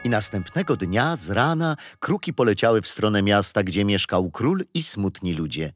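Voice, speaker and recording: Lively, kindly grandfather storyteller. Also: lowish voice, normal speed, soft background music. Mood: storytelling. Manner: patient, playful teasing. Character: kindly, patient grandfather storyteller teaching history. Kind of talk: children's radio play, storytelling.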